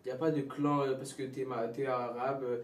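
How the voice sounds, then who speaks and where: A high school student in his late teens, answering in a casual group interview, hesitant and repeating himself. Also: level tone, normal speed. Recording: echoey room, from far off.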